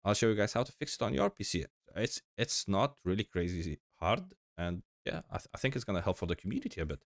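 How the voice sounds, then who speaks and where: Deep-voiced sick young man, rambling. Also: big pitch swings, fast talker, dry recording, clean studio sound. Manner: rambling, informal, frequent fillers and restarts. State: sick, slightly crackly voice. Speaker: gaming channel host. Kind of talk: casual gameplay tutorial voice-over.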